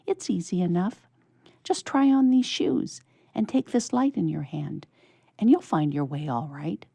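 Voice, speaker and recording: A woman's voice: fairly low pitch, lively intonation, a little slow, vocal fry, warm tone, dry recording, exaggerated voice. A woman voicing a tiny, coaxing magical helper in a storybook reading.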